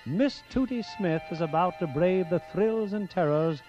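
High-pitched guy narrating an old radio play, theatrical, muffled, faint music behind. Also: lively intonation, moderate pace, darkish tone.

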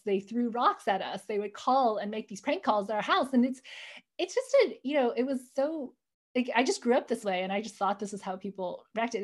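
A woman's voice: fairly high pitch, a little mellow. A woman in her forties, reflecting earnestly on growing up, often saying "you know" as a guest in an interview. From United States. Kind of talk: reflective personal storytelling.

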